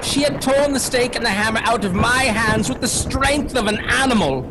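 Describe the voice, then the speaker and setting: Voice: mid-range voice, moderate pace, very noisy, natural voice. An actor playing a shaken witness who recounts a horror in a radio drama, dramatic and vivid. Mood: shaken, intense.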